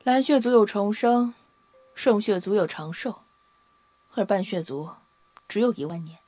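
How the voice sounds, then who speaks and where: An actress playing a solemn, wistful half-blood alien woman in an audio drama, explaining things in a measured, serious way. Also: mid-range voice, animated, mellow, no echo. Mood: somber, resigned. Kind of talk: Chinese audio drama acting. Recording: music under the voice. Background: background music.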